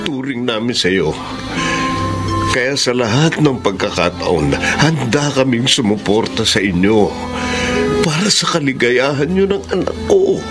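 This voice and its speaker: A man's voice: mid-range voice, big pitch swings, unhurried, music in the background. A Filipino man acting a caring middle-aged father in a radio drama, emphatic and imploring. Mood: earnest persuasion. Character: caring, pleading father defending his son's happiness.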